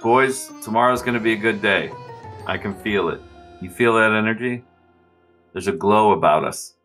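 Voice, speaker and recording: A man's voice: lowish voice, normal speed, fairly bright tone, soft background music, pitched above the performer's own voice, natural voice. A man playing a devout, brotherly holy warrior in a tabletop game, giving earnest, preachy encouragement. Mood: upbeat. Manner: earnest, preachy pep talk.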